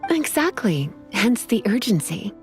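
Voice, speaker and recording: A woman's voice: medium pitch, very animated, measured pace. A woman playing an elegant, coy, flirtatious lady in a video game, with a languid manner. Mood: coy, insistent. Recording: music behind the voice. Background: game music.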